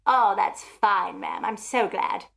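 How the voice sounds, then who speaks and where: A woman's voice: medium pitch, takes it slowly, creaky, a bit bright, full character voice. A woman playing a polite, deferential housemaid in a radio drama, helpful and eager to please. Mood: cheerful, dutiful. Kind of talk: radio thriller acting.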